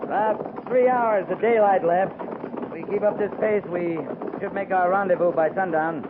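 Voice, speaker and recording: A man's voice: high-pitched, normal speed, close to an everyday voice. Man acting a laconic cavalry captain on old radio. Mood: dry, matter-of-fact. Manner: laconic, clipped, authoritative. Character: seasoned, dry-witted cavalry captain in command. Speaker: actor in a radio western. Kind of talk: 1950s radio drama acting. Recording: tinny, noisy 1950s radio recording.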